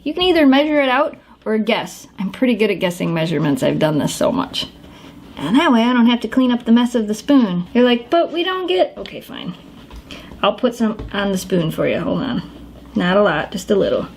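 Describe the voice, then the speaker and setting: Chatty woman with a lively voice. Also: medium pitch, a little hiss or hum. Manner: chatty, talks to her dogs while she works. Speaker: home cook demonstrating a recipe. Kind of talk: casual how-to cooking video.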